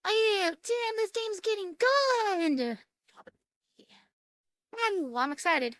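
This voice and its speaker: High, bright-voiced woman streaming a game. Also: expressive, dry recording, clean studio sound. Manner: halting, stumbling over words when reading and commenting; casual, swearing. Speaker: streamer playing and reading a visual novel. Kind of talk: gameplay commentary mixed with reading game text aloud. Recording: music behind the voice. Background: music.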